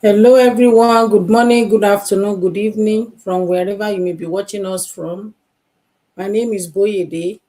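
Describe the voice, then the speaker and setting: A woman with a Nigerian accent introducing herself as a mother, wife and mentor on a live stream, speaking slowly and deliberately. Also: mid-range voice, steady tone, dark tone, rough recording. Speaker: guest co-host.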